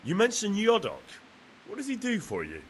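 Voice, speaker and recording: Young novice monk in a game, highish voice, very lively pitch, earnest and wary, hissy background. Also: male voice, normal speed. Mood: inquiring. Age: young adult. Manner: earnest, questioning. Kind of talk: video game cutscene acting.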